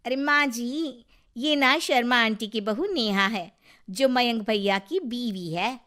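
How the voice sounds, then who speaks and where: A woman's voice: on the high side, slow, fairly bright tone. A woman voicing a gossipy, bubbly young neighbour daughter-in-law in a Hindi moral story. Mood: explaining.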